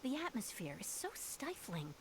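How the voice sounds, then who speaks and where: A woman playing an earnest young female refugee in a video game, telling things in a measured, sincere way. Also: medium pitch, big pitch swings, slight background noise, close to an everyday voice. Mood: solemn recounting. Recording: game music behind the voice.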